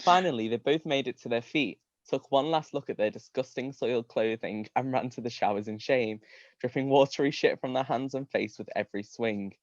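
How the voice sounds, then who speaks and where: A man's voice: mid-range voice, a little fast, no room sound, highs cut off. A man giving a live comedic reading of a story, amused, often breaking into laughter and tripping over his words. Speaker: reader of comic fan fiction.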